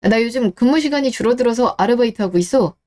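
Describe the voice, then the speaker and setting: A woman's voice: on the high side, fast talker, warm tone, close to an everyday voice. A woman voicing an easygoing, self-reliant husband and son in a scripted radio drama, casual and mildly defensive.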